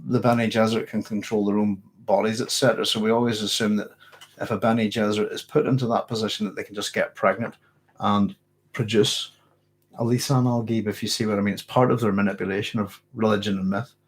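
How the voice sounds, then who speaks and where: A man's voice: on the low side, steady tone, fairly quick, husky. Rambling man hosting a late-night Q&A. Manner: rambling, self-correcting, conversational. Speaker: host of a science fiction channel. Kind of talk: late-night live Q&A, reading viewer comments.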